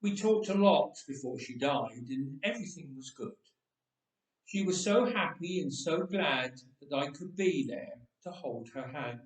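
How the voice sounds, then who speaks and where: A man's voice: fairly high pitch, expressive, measured pace, pitched below the performer's own voice. A man playing a chatty, wry young man of Bible times who tells of being raised from death, in a dramatic first-person monologue. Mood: wistful. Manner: conversational, wry understatement. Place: church, voice echoing. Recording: roomy church echo, distant microphone.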